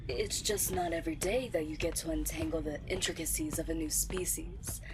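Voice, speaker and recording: An eager alien scientist, acted by a woman, chats in a lowish, breathy voice full of curiosity. She gushes as in a sci-fi audio roleplay, over clearly audible ambient noise. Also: fairly even intonation, fairly quick, natural voice. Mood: fascinated. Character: chatty, eager female alien scientist, endlessly fascinated.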